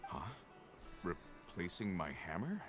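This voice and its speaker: A man's voice: low voice, wildly swooping pitch, takes it slowly, music in the background, full character voice. An actor playing an earnest, plain-spoken carpenter and family man burdened by work, in a video game. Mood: puzzled.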